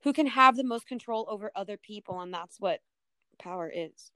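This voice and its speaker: A woman's voice: mid-range voice, expressive, normal speed, sharp tone, no echo. Young woman, podcast co-host, casual chat, conversational, hedging, very dry recording. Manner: informal, conversational, hedging with "like" and "honestly". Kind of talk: casual TV discussion.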